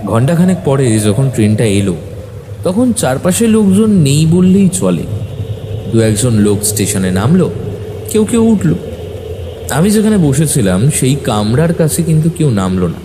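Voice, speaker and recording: A man narrating a first-person horror story in a suspenseful, expressive, dramatic way. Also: mid-range voice. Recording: faint background noise.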